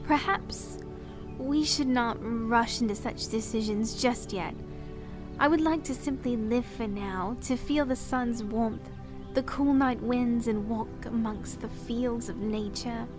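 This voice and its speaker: Actress doing young princess in fantasy audio drama, high pitched, old-timey formal talk, music behind her. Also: slow. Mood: tender, grateful. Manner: formal, archaic, precise diction. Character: proud young princess, soft-spoken yet defiant, sharp-tongued.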